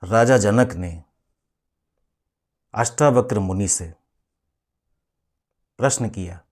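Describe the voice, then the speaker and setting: Male meditation guide and teacher: fairly low pitch, very unhurried pace, measured and instructive delivery with deliberate pauses. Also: darkish tone. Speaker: professor leading a guided meditation.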